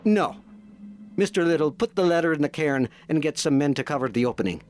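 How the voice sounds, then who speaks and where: Man playing a stern, highish-voiced commanding officer. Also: lively intonation, fairly quick, darkish tone, slight background noise, natural voice. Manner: clipped, commanding. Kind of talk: audio drama acting.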